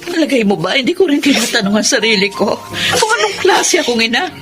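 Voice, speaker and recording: An actress playing a remorseful middle-aged Filipino mother who holds high standards, in radio drama style. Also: sing-song, fast talker. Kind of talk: Tagalog radio drama acting. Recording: loud dramatic music underneath.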